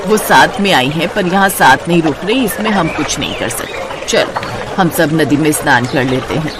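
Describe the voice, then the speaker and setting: A woman voicing a kind, resigned sister-in-law who leads a group, gentle and consoling, in a moral cartoon story. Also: low voice, expressive, moderate pace, noisy background, pitched below the performer's own voice.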